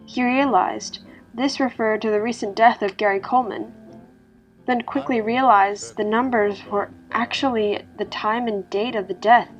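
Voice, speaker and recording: Female narrator, medium pitch, scripted horror storytelling, dramatic reading, soft music behind. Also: rough recording. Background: background music.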